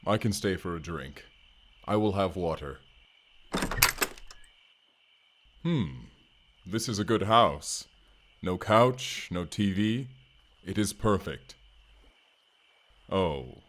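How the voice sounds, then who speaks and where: A man's voice: deep voice, expressive, takes it slowly, pauses often, fairly bright tone, heavily acted. A man playing an earnest wasteland barbarian turned corporate fighter in an audio roleplay, speaking in stilted, literal, short sentences. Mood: content, simple. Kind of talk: immersive first-person audio roleplay acting.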